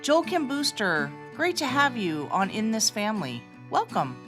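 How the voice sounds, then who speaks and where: Lively woman hosting, music behind. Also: on the high side, a little slow. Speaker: podcast host, healthcare executive. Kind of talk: scripted narration and interview questions.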